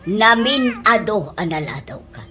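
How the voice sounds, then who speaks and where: Strict, animated lady boss. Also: medium pitch, measured pace. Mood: exasperated. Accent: Ilocano. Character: strict, exasperated female office boss. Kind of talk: Ilocano radio comedy drama acting.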